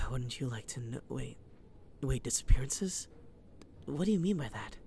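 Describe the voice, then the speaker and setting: A woman playing a captured male serial killer in a scripted audio roleplay, husky, evasive and dramatic. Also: a bass voice, animated, a little slow, darkish tone, a little hiss or hum, exaggerated voice. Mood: sly, evasive.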